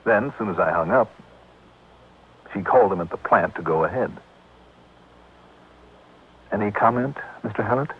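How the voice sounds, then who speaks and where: Sharp radio investigator, American, lowish voice, confident, vintage drama delivery, thin lo-fi broadcast sound. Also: male voice, expressive, moderate pace, long pauses, breathy edge. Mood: confident, explanatory. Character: brisk, sharp male insurance investigator, self-assured. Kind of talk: 1960s American radio drama acting and first-person narration. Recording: tinny, noisy old radio broadcast.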